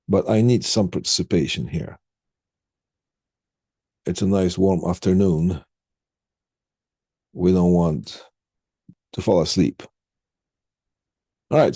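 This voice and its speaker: A man giving an informal technical lecture as an instructor, explaining step by step in a halting way and putting questions to students. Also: low voice, lively intonation, dark tone, husky, no echo, clean studio sound.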